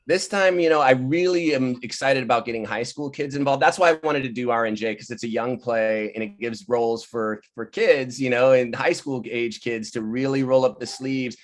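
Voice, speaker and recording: A man telling anecdotes casually on a video call, lively and quick to act out what other people said. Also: mid-range voice. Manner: animated, laughing often, acting out quoted people. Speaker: playwright and director leading a virtual table read. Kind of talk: casual, anecdotal storytelling chat. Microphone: video call microphone.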